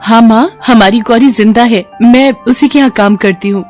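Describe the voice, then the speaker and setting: A woman's voice: a little high, normal speed, a little mellow, faint music behind, voice pushed up, natural voice. A woman voicing a meek, kind young maid in a story, earnest and deferential.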